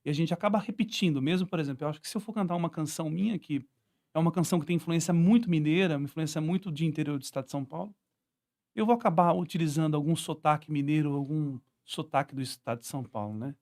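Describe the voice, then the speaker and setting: Higher-voiced, quick-talking Brazilian man. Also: darkish tone. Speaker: guest musician, singer-songwriter. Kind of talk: casual conversational interview.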